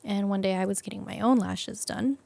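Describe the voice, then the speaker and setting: A young adult woman with a creaky voice, talking informally as an interview guest and thinking aloud with frequent "like". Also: mid-range voice, fairly quick, a little mellow, no room sound. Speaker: interview guest, business owner. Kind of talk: casual conversational interview.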